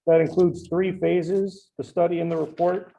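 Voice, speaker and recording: A man's voice: high voice, little pitch movement, moderate pace, gravelly, mellow, rough recording. A man speaking as a town official in a public meeting, summing up documents. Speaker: town official at a bid opening.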